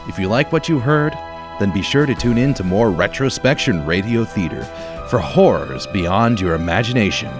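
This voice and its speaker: A man narrating as host of an old-time radio mystery show, theatrical and ominous. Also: mid-range voice. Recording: music under the voice.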